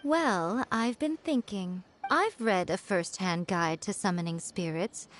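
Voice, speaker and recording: A woman voicing a sly, self-assured shrine maiden and editor in a video game, silky, measured and teasingly commanding. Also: medium pitch, natural voice. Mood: sly, confident. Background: music.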